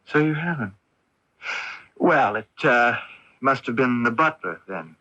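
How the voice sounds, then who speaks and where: Radio play polite young man, the odd son: placid, hesitant, mid-pitched, crisp-toned, dull lo-fi recording. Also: expressive, unhurried, bright, forceful tone. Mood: placid, matter-of-fact. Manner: polite, hesitant, matter-of-fact. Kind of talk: radio drama acting.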